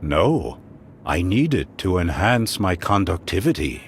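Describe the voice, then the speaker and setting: A man's voice: deep voice, sing-song, a little slow, a little hiss or hum, heavily acted. A man voicing a pompous, eccentric asylum inmate who fancies himself a mystic, in an adventure game. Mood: calmly menacing. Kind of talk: adventure game character acting. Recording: loud music under the voice.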